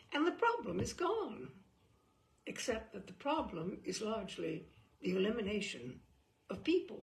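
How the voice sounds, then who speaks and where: A woman reading a humorous book aloud in a theatrical, deadpan way, with dramatic emphasis and pauses. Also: medium pitch, vocal fry. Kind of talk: theatrical read-aloud of dry comic prose.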